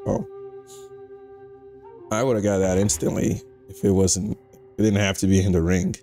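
A young man with a raspy, just-woken-up voice, giving laid-back, slangy commentary while playing a video game. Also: deep voice, steady tone, measured pace, mellow, faint music behind. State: just woke up. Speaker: gaming channel host. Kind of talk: casual gameplay commentary.

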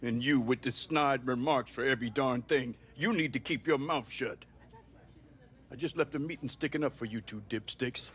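A man's voice: mid-range voice, normal speed, a bit bright, natural voice. Stern, scolding football coach played by a man. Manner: gruff, scolding, commanding. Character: stern, impatient, hard-nosed male football coach. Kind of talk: scripted game drama acting.

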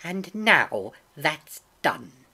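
A woman voicing a cruel blind old beggar man in a story, cold and soft-spoken, with a sly, drawn-out sing-song and a sneer. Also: deep voice, very animated, slightly rough, heavily acted. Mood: coldly commanding.

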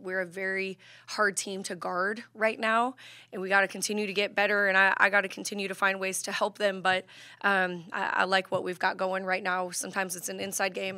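A woman's voice: medium pitch, a little fast, no room sound. A woman in her thirties, a head basketball coach, answering questions at a postgame press conference into a table microphone, with many fillers and restarts. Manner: steady, even delivery with many fillers and restarts.

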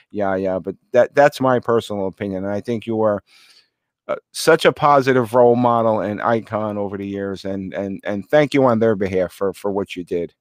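A man hosting an interview over a video call, earnest and halting, with many restarts and some teasing. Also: lowish voice, no room sound, studio quality. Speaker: radio show host. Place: home room indoors.

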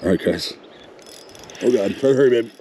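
A man's voice: mid-range voice, a little fast, slight background noise. A man hosting a casual fishing vlog outdoors by a river, calling out to his viewers. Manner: excited, addresses viewers as guys. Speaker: fishing channel host.

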